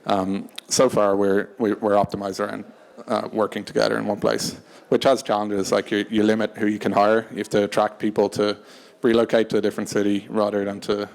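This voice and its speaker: A man speaking unscripted on a discussion panel, hesitant and halting, often restarting his phrases. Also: on the low side, completely flat delivery, vocal fry, darkish tone. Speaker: panelist from a tech company.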